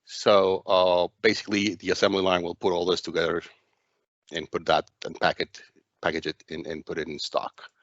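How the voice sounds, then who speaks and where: A man narrating a software demo walkthrough as its presenter, speaking in a halting, hesitant way with frequent stumbles. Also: sits low, little pitch movement, no room sound, dull audio.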